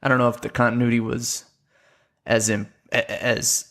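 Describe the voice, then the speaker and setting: A man hosting a casual podcast chat, talking in a rambling, halting way. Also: mid-range voice, level tone, a bit bright. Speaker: podcast host.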